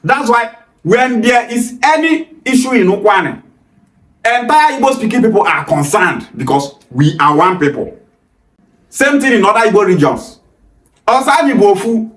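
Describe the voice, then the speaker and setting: A young Nigerian man with a Nigerian accent, talking straight to the camera with emphatic, passionate delivery and sharp rises when he pushes back. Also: high voice, big pitch swings, normal speed, roomy sound. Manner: emphatic, gestures freely, rises sharply on rebuttals. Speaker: video host. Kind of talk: passionate direct-to-camera talk. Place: small indoor room against a plain wall.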